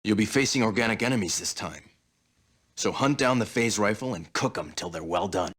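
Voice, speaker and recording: An actor playing a tough, sardonic officer and stern older brother in a video game cutscene, gruff and commanding. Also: male voice, on the low side, expressive, a little fast, a bit bright. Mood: gruff, instructing.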